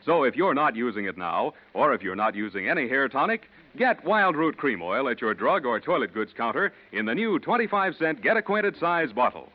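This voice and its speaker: Animated 1940s American radio announcer man. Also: medium pitch, brisk, hardly any pauses. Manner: polished, emphatic salesmanship. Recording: muffled 1940s radio broadcast.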